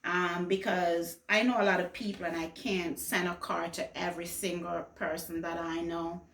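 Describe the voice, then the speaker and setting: A woman's voice: fairly low pitch, little pitch movement, moderate pace, fairly bright tone. A woman from Jamaica who later moved to the US, chatting casually to viewers in a rambling, conversational way as a lifestyle vlogger. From Jamaica until age fourteen, then the US. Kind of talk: casual vlog chat to viewers. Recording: echoey room.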